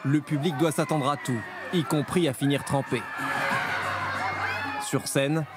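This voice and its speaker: A man's voice: fairly high pitch, measured pace. A man reading scripted narration for a television news report. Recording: voice-over on music and crowd. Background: music and lively theatre crowd.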